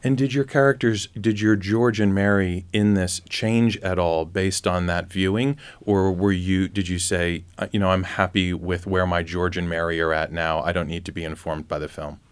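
A man's voice: low-pitched, steady tone, moderate pace, hardly any pauses, clear, resonant tone. A man hosting an interview in a conversational style, putting questions to guests. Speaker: radio interview host.

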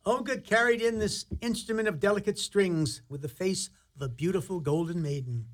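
A man's voice: high voice, normal speed. A man narrating a fairy-tale radio play in a dramatic storybook style, theatrical and wry. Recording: clearly noisy background.

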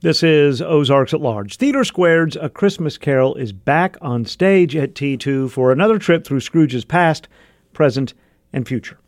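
A man's voice: fairly high pitch, expressive, a little slow. A man hosting a radio show, presenting from a script.

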